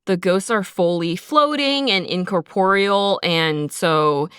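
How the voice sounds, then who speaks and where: A woman's voice: fairly low pitch, slow pace, fairly bright tone, smooth, no room sound, studio quality. A woman podcast host talking as a sound designer, explaining methodically and conversationally with examples. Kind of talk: behind-the-scenes podcast discussion, explanatory.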